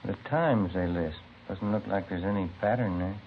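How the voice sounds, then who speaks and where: Low-voiced detective partner. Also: male voice, normal speed. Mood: low-key. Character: low-key male police detective partner. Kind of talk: 1950s radio drama acting. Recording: muffled noisy vintage radio recording.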